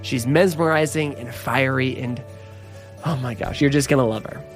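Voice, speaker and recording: Male podcast host, mid-pitched, earnest and effusive, music underneath. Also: normal speed. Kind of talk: podcast intro and interview questions.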